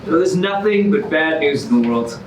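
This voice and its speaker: A man's voice: on the high side, lively intonation, slight background noise, natural voice. A man playing a gloomy, defensive boyfriend with a morbid streak, theatrical in a staged musical comedy reading. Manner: theatrical, halting when worked up. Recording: echoey room, distant mic, music behind.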